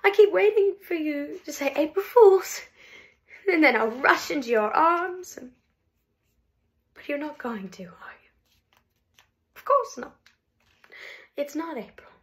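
A woman acting out a heartbroken wife refusing a divorce, near tears and swinging between hushed whispers and loud outbursts. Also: high voice, expressive, a little slow, pauses often. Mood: manic, tearful. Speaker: actress performing a monologue. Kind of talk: comic stage monologue.

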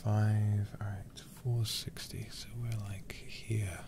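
Male game streamer, ASMR style: deep and fry-laden, breathy, hushed muttering with casual profanity. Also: level tone, slow pace, background noise. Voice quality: breathy, often whispered. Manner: hushed, muttering to himself, sweary. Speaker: livestreamer. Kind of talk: ASMR horror game livestream, casual commentary.

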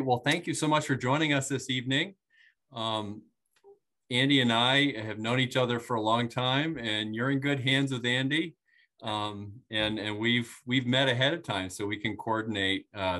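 A man's voice: medium pitch, moderate pace, bright, forceful tone. A man, an energy advisor, starting a webinar presentation over a video call, hesitant with many fillers.

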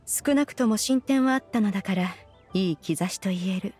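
Wise immortal adept, played by a woman: mid-pitched, stately, aloof, composed archaic delivery for an anime game. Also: moderate pace, a little mellow, husky, faint music behind, lightly acted. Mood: calm, reassuring. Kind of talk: video game dub acting.

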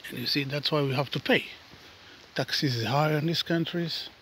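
A non-native English-speaking man narrates a walking vlog on a suburban path, filming on a handheld phone. His voice sits fairly high and bright, and he talks slowly and conversationally. A faint hiss of outdoor ambience stays behind him. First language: not English. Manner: chatty, often addresses viewers as guys, drops to soft asides. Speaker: vlogger.

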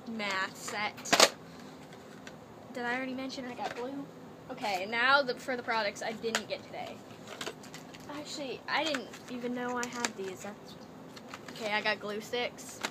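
A young woman from Canada, chatting and rambling through a haul video in a playful put-on accent, recorded on a laptop webcam in her bedroom. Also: fairly high pitch. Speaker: vlogger. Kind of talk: casual haul video chat. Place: small cluttered bedroom, indoors. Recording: noisy laptop webcam audio.

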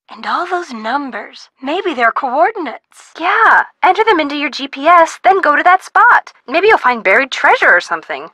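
A woman's voice: sits high, sharp tone, no room sound, exaggerated voice. An actress voicing a cheerful teenage girl friend who gives chatty, encouraging hints over the phone in a video game. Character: cheerful teen girl sidekick, upbeat helper.

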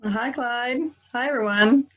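A woman's voice: a little high, measured pace, a bit bright, rough recording. A woman speaking as a podcast co-host, introducing herself and greeting listeners.

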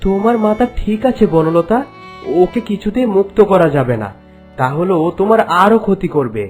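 A man's voice: high-pitched, lively intonation, normal speed, darkish tone. A man voicing a caring, devoted young prince in a fairy-tale cartoon, concerned and earnest. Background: background music.

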